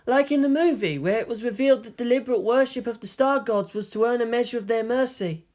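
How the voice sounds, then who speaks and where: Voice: mid-range voice, fast, darkish tone, voice pushed up, close to an everyday voice. A narrator voicing an earnest, geeky young male lieutenant who lectures emphatically. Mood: pondering.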